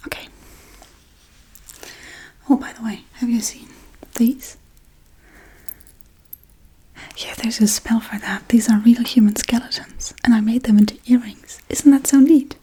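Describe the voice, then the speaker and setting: A woman playing a forgetful, darkly playful witch in a soft-spoken, whispery ASMR role-play, murmuring gently and absent-mindedly. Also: fairly high pitch, fairly even intonation, moderate pace. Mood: playfully proud. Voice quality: breathy, whispery.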